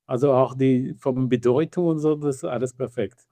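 A man with an Israeli accent and Hebrew as his first language, answering interview questions about his band in halting, filler-heavy speech with some non-native grammar. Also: mid-range voice, fairly even intonation, dark tone, dry recording. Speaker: band member.

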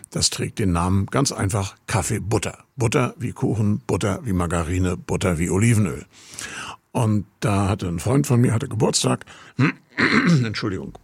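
A man's voice: lowish voice, vocal fry, slightly rough, no echo. A man from Rostock presenting a radio show in a chatty, meandering way, moving from serious talk to introducing music. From Rostock, Germany. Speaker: radio host.

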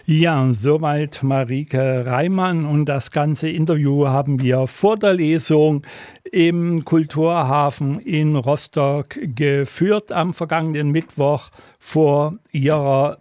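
A male radio presenter speaks slowly and calmly as he links segments. The studio sound is completely dry and close. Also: medium pitch, no room sound. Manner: calm, composed announcing. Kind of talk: radio moderation.

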